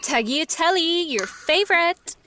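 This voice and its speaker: A woman playing a chatty, fussing wife and mother in a radio play, breezy and expressive. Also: very high voice, unhurried, slight background noise, exaggerated voice.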